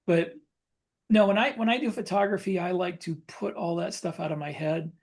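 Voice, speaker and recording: A man's voice: sits high, moderate pace, vocal fry. A man talking casually as a podcast host, informal and given to repeating points for emphasis.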